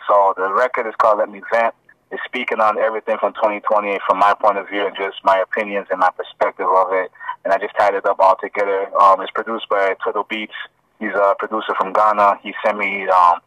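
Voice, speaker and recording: A man's voice: fairly low pitch, bright, forceful tone, no echo. A man who grew up in Toronto, a rapper guest co-hosting a podcast over the phone and chatting in an easy, friendly way with plenty of fillers. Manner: laid-back, friendly, lots of fillers. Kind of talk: casual podcast chat. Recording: distant-sounding phone call.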